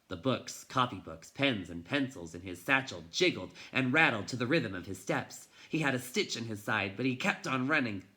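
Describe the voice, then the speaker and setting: A man reading a children's novel aloud in an expressive, dramatic way, moving between hushed and intense delivery. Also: medium pitch, normal speed, few pauses. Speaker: teacher reading a storybook aloud.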